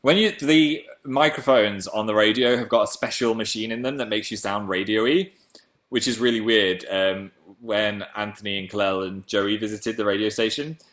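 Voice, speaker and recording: Deep-voiced english guy in his 20s doing a webcam livestream, playful, swings his pitch up and down. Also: steady tone, moderate pace, a bit bright. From England. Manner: playful, jumps between high and low pitch. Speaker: livestream host. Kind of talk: casual live chat reading comments.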